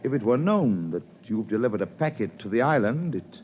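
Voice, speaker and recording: An actor playing a businesslike middle-aged shipowner in a 1930s radio drama, measured in manner, on a tinny, hissy old recording. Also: male voice, medium pitch, big pitch swings, close to an everyday voice.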